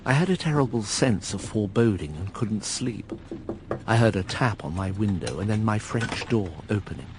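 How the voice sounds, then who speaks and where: An actor playing a melancholy gentleman narrating his own past in a radio drama, measured, understated and reflective. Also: fairly low pitch, a little hiss or hum. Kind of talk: first-person dramatic narration.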